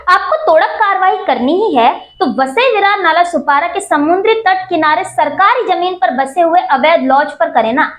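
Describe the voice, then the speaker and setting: High-pitched emphatic woman news presenter, echoey room. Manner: emphatic, accusatory, rhetorical. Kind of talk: scripted news commentary. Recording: roomy echo on the voice.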